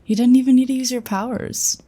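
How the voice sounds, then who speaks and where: A woman's voice: high-pitched, fairly even intonation, brisk, dark tone, husky. A woman playing an earnest, loyal female psion colleague who speaks directly. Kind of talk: fan audio drama acting.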